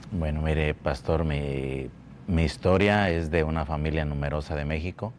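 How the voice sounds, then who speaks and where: A man from Mexico telling his life testimony at a church, speaking slowly and haltingly, often repeating words. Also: rumbling low, a little mellow, slight background noise. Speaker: guest giving testimony. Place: church building.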